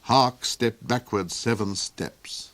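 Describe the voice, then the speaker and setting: A man narrating a fairy tale in an audiobook, with a theatrical, unhurried storytelling manner. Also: mid-range voice, a bit bright, no echo. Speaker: reader.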